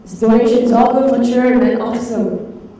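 A woman's voice: a little high, brisk, mellow, a little hiss or hum. A woman with a Malaysian English accent co-hosting a live charity auction on stage, playful and teasing. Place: large hall stage with audience. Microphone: handheld mic, heard from far off. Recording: echoey hall from far off, crowd.

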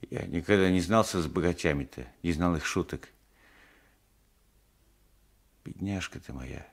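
An actor playing a simple, meek old peasant husband in a radio play, hesitant and homely. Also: male voice, sits low, a little mellow, full character voice. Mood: puzzled, tender. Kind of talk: Soviet radio play acting. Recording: 1980s radio drama recording.

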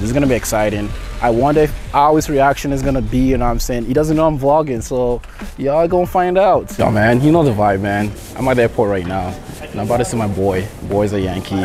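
A man in his twenties talks to his handheld camera in loose street slang. His voice sits at a middle pitch, joking and hyped, full of repeated tag phrases. Music plays clearly underneath. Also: fast, a little mellow. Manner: repeats "you know what I'm saying", joking, hyped. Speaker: vlogger, host. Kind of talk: casual vlog chat with heavy street slang. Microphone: camera held at arm's length.

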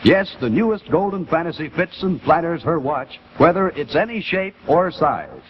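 A middle-aged American man giving a polished, deliberate scripted sales pitch as a 1950s television announcer. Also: very animated. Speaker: commercial announcer. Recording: muffled 1950s television broadcast with faint noise.

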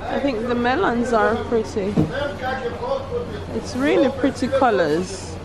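A woman's voice: fairly high pitch, lively intonation, slow pace, a loud crowd. A woman vlogger chatting casually and admiringly as she films around a large indoor market. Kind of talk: casual chat while filming a market visit. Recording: handheld camera in loud busy market hall. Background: loud market ambience.

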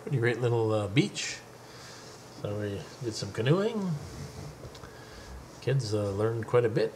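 A man's voice: mid-range voice, lively intonation, a little slow, darkish tone, slight background noise, rough recording. A middle-aged man narrating a home video of his family's day by a lake in an easygoing, chatty way. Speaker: father filming a family outing. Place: outdoors by a lake.

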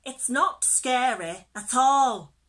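A woman voicing a boastful little male sea creature in a children's storybook, loud and easily frightened. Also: on the high side, huge pitch swings, takes it slowly, full character voice. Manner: boastful, shrieking when scared.

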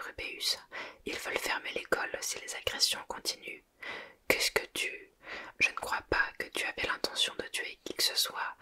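A speaker reading a novel aloud in a soft, unvoiced whisper and then reviewing it, close to the microphone. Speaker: book reader and reviewer.

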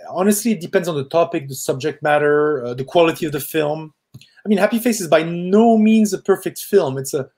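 A film director in his forties speaks with a Parisian French accent, his voice sitting fairly high. He talks openly and with lively energy. The sound comes through earbud mics on a video call from a dim room at home. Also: brisk, husky. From Quebec, Canada. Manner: candid, animated, gestures while talking. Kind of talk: casual interview talk. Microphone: earbud headset on a video call.

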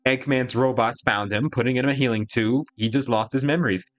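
A man's voice: medium pitch, fairly even intonation, brisk. A man hosting a reaction video, commenting casually as he watches.

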